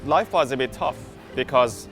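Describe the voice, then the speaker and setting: A man from Iran giving an interview testimony, pausing and searching for words as he speaks. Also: medium pitch, a bit bright, faint music behind. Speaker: employee sharing his story.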